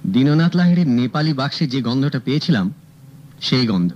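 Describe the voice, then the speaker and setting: An actor playing a sharp, confident private detective who calmly and cuttingly accuses a suspect. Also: male voice, medium pitch, brisk, natural voice. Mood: accusing. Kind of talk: 1970s radio drama acting. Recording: dull old radio recording, faint music.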